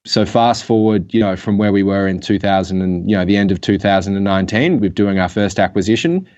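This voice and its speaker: A man with an Australian accent, a guest on a business interview calling in remotely, talking in long relaxed runs with many fillers. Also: lowish voice, brisk, lo-fi sound. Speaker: guest, acquisitions executive. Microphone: remote call.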